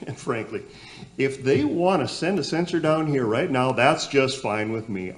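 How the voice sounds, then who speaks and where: A flustered young nephew played by a man struggles to co-host a comedy radio play. His voice sits fairly high and leaps about in pitch. He stammers with long-suffering exasperation. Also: natural voice. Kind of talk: community theater radio comedy acting.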